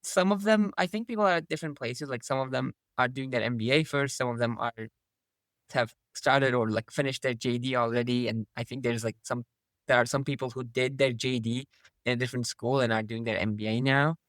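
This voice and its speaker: A young man from Turkey talks through his story in a relaxed podcast interview. His voice is mid-pitched and recorded close and dry, and he leans on "like" fillers as he thinks aloud. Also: fairly quick, dry recording. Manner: thoughtful, uses many "like" fillers. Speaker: MBA student guest. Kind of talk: casual interview chat.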